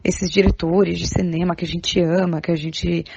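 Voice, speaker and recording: A woman's voice: on the low side, level tone, normal speed, creaky, a little mellow, husky, no room sound, dull audio. A Brazilian woman speaking informally and earnestly as a podcast guest, with emphatic, conversational delivery. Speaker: podcast guest, theatre artist and art educator. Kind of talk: informal spoken recommendation.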